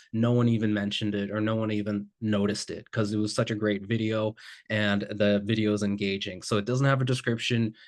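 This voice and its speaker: A man working as a guest ad expert, reviewing submitted ads live in a casual, loose way with plenty of filler words. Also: fairly low pitch. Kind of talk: live ad critique session.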